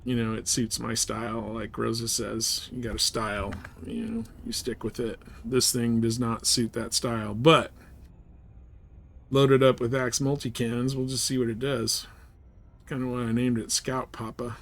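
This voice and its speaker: A man's voice: mid-range voice, level tone, moderate pace, vocal fry, a bit bright. A man live streaming a game, chatting casually and off the cuff in rambling, jargon-filled talk. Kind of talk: casual gaming stream chat.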